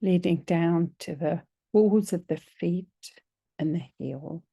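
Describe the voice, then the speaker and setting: Very slow, low-voiced woman guiding relaxation. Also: breathy edge, no room sound. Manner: gentle, unhurried, soothing, with long pauses. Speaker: yoga nidra and meditation teacher. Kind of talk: guided relaxation meditation.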